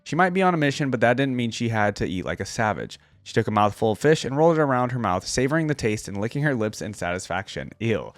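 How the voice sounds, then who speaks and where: A man hosts a reaction video, reading a story aloud in a medium-pitched voice. His storytelling is expressive, and the sound is dry and close, with very little room. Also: fast talker, hardly any pauses, no room sound.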